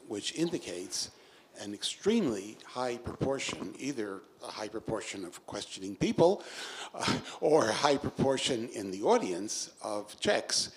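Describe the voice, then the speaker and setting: A man's voice: lowish voice, a little hiss or hum. A man in the audience asking a long, probing question of the speaker. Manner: lively, probing, rambling. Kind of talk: question from the floor.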